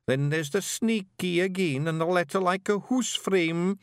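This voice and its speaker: A man voicing a boastful little male fairy clan leader with a broad Scottish accent, blustering and quick to snap. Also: high voice, clean tone, no echo, studio quality, pitched above the performer's own voice.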